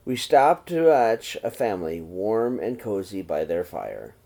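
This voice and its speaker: A man reading a picture book aloud to young children in an expressive storytelling style. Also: lowish voice, measured pace, creaky.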